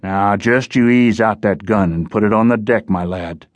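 Guy doing a big gruff menacing giant for an audiobook, bossy sea-dog talk, dry close sound. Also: lowish voice, dry recording, lo-fi sound, natural voice. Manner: gruff, nautical, unhurried commands. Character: giant bloated gruff male menacing underwater outcast. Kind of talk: audiobook character voice.

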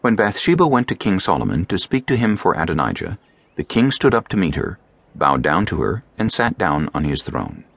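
A man narrating scripture as a scripted audiobook, in a measured, solemn storytelling manner. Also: sits low, sing-song, creaky, a bit bright, dry recording.

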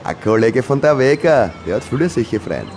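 A rambling postman in a radio play. His Viennese voice swings animatedly around a middle pitch, chummy and ingratiating but evasive and opinionated. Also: sing-song, normal speed, darkish tone, soft background music.

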